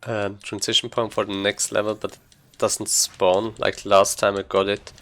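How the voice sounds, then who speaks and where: A man giving casual live commentary as he plays a game, haltingly thinking aloud. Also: fairly low pitch, flat delivery, fairly bright tone. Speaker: speedrunner commentating gameplay.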